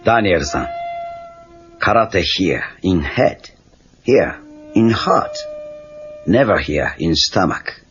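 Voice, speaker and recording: An actor playing a wry, calm old Okinawan karate master with a Japanese accent, speaking terse, clipped broken English in a husky voice. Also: male voice, sits low, takes it slowly, pauses often, slight background noise, heavily acted. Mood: patient, dry. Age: older. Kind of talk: film dialogue. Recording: dull lo-fi cassette tape.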